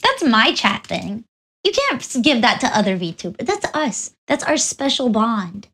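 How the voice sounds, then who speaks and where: A woman's voice: fairly high pitch, very animated, a little slow, fairly bright tone, slightly rough. A woman co-hosting a casual podcast from a hotel room, playful and teasing, laughing often. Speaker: podcast co-host. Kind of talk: casual chat. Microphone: different travel microphone.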